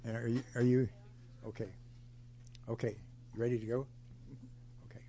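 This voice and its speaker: A man emcees a community gathering and introduces the next parts of the program. His voice is mellow and warm at a middle pitch, and his announcements stall on uhs and restarts. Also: flat delivery, a little hiss or hum. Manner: rambling, halting announcements. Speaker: event host.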